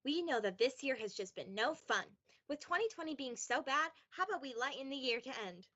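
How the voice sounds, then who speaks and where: A woman's voice: high voice, animated, brisk, bright, forceful tone, lo-fi sound, full character voice. A woman playing a cheery shop saleswoman reading a scripted radio advert. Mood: cheery, promotional.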